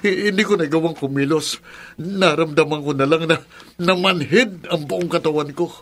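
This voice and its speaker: A man's voice: a little high, moderate pace, a little hiss or hum, natural voice. An actor in a radio drama playing a frightened middle-aged village father who stammers nervously. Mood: shaken.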